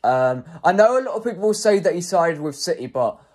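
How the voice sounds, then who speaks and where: A man's voice: sits high, animated, fairly quick, darkish tone. A young man giving casual post-match player ratings as a football fan, hesitant with frequent ums and self-corrections.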